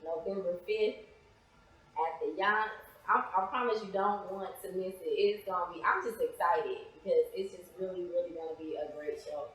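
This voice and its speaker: A woman's voice: on the low side, moderate pace, fairly bright tone. An American woman giving casual interview answers as a guest playwright. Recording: very echoey, reverberant room.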